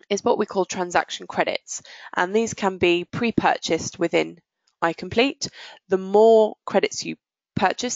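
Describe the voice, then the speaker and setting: A woman's voice: lowish voice, creaky, no room sound, low-quality recording. A woman giving a live product demonstration, explaining each step in an informal business tone. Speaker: software demonstrator. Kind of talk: live product demo walkthrough.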